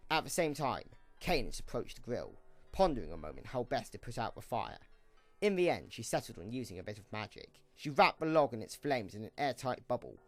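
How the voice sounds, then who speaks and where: Lively male storyteller, scripted narration. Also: medium pitch, no room sound.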